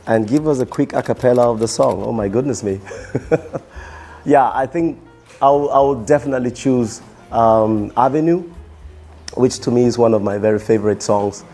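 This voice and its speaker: A young man, a musician answering quick-fire interview questions in a tired, strained voice, halting and repeating his words. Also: medium pitch, dark tone, soft background music. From Cameroon. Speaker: musician guest.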